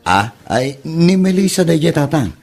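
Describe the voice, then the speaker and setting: A man's voice: fairly high pitch, sing-song, a little slow, vocal fry, darkish tone, slightly rough, soft background music, full character voice. An actor playing a jobless, sweet-talking young womanizer son who is evasive and short of money, in an Ilocano comedy drama.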